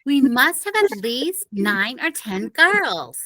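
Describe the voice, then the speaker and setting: A woman's voice: fairly high pitch, sing-song, takes it slowly, sharp tone, full character voice. A woman voicing a peppy, positive teenage girl who is head cheerleader, in a storybook reading. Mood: conversational. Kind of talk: storybook character dialogue.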